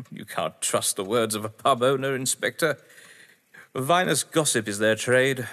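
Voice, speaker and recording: An actor playing a haughty, well-to-do English gentleman in an audio drama, florid and evasive, with an English accent. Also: mid-range voice, a little slow, close to an everyday voice. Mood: dismissive, wary. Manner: pompous, florid, theatrical. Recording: reverberant hall with music behind.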